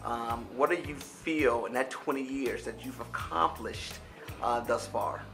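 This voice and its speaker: A man interviewing a founder, halting and restarting as he frames his questions. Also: mid-range voice, music in the background. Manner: halting, many fillers and restarts while asking.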